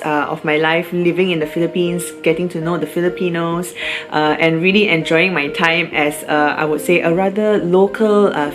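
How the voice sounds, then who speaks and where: Young malaysian woman vlogging, low-ish voice, rambly and chatty, music playing behind. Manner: chatty, rambling with fillers and restarts. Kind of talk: casual personal vlog.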